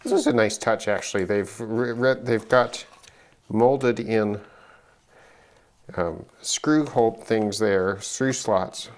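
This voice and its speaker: A middle-aged man giving unscripted commentary on an electronics teardown, halting and musing as he thinks aloud. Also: lowish voice. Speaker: electronics hobbyist presenter.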